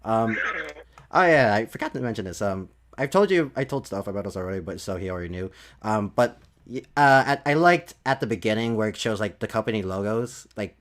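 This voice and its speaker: Man chatting casually with lively swings. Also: lowish voice, a little fast. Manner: frequent mild swearing. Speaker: podcast co-host. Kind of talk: casual chat about movies.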